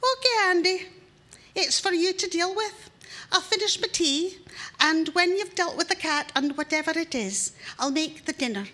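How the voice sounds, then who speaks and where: A woman voicing a pregnant young wife who gives orders in a calm, commanding way. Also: sits high, moderate pace, pitched above the performer's own voice. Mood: dismissive. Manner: unbothered and commanding.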